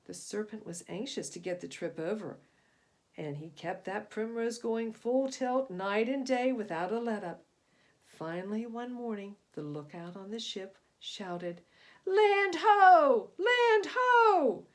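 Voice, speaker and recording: A woman reading a children's story aloud in an expressive, emphatic style, moving between hushed and booming delivery. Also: unhurried. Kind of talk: expressive scripted storybook narration.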